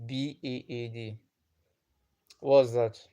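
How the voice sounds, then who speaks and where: A man's voice: medium pitch, steady tone, takes it slowly, mellow. Man chatting on a livestream. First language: Igbo. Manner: patient, repeats himself, chuckles often. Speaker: livestream host. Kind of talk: casual livestream chat reading comments.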